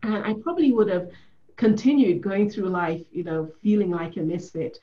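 Woman coach guest from Ethiopia, soft-spoken and reflective, mid pitch, dull recording. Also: moderate pace. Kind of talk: conversational interview.

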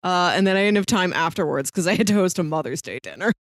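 A woman's voice: lowish voice, lively intonation, fast talker, no echo, studio quality. A woman co-hosting a podcast, chatting casually about films. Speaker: podcast co-host. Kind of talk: casual movie podcast chat.

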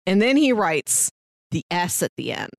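Animated woman podcaster, close dry sound. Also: lowish voice, moderate pace, gravelly, no room sound. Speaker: podcast co-host. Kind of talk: casual film discussion and anecdote telling.

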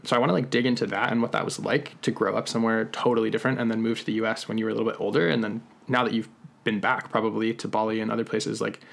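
A man's voice: on the low side, gravelly, fairly bright tone. A man hosting a casual interview in an encouraging, conversational way. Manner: encouraging, affirming. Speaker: podcast host, interviewer.

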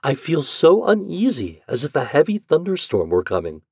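A man's voice: a little high, wildly swooping pitch, normal speed, creaky, husky, no room sound, studio quality, pitched above the performer's own voice, full character voice. A man voicing an anxious, tormented wife in a fairy tale, wailing and fretful with dread. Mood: uneasy.

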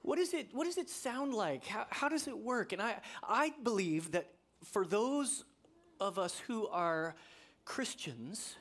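Animated preacher man telling stories. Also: measured pace. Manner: animated storytelling, voice climbing high when excited; emphatic, repeating key phrases. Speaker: pastor. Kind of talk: sermon.

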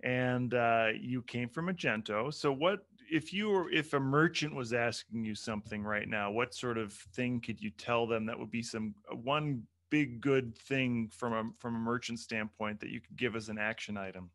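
A man's voice: mid-range voice, moderate pace, hardly any pauses, a bit bright. A man hosting a podcast, friendly and joking in casual interview chat with some hesitant fillers. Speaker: podcast host.

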